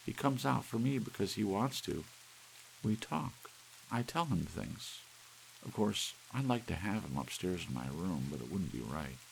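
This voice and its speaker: Man voicing a coquettish, gushing woman, pitched up. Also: unhurried, creaky, exaggerated voice, low-quality recording. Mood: fond, confiding. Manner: gushing, pleading. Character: coquettish, excitable married woman, fond and pleading. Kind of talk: audiobook character voice. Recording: steady rain hiss behind.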